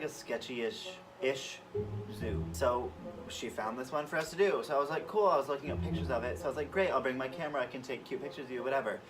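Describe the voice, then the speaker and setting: Young man, chatty rambling vlog co-host, casual storyteller, slightly distant from the mic with clear music underneath. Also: mid-range voice.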